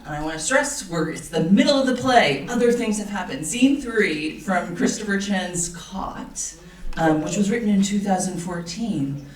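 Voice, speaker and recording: A woman's voice: mid-range voice, animated, moderate pace. A woman presenter introducing a scene at a live theater event. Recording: echoey room, distant mic, faint noise.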